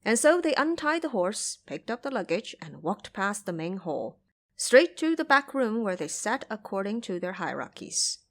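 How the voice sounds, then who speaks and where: Expressive, animated woman storyteller. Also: mid-range voice, normal speed. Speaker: storyteller, narrator. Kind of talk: scripted audio drama narration.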